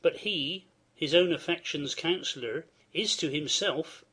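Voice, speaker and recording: A man playing a worried, dignified older nobleman and father, reading Shakespearean verse in a formal, measured, declamatory way. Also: a little high, sharp tone, breathy edge, exaggerated voice. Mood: troubled, grave.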